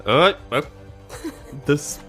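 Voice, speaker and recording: Lively low-toned woman playing a tabletop game. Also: slow pace, faint music behind. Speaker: player in tabletop roleplaying podcast. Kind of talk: casual game chat.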